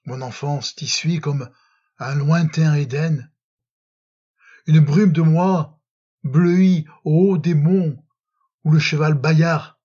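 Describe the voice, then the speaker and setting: A man recites love poetry very slowly and solemnly, leaving frequent pauses between phrases. His voice sits a little high for a man and has a husky, breathy grain. The recording is slightly muffled. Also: darkish tone. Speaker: poetry reader.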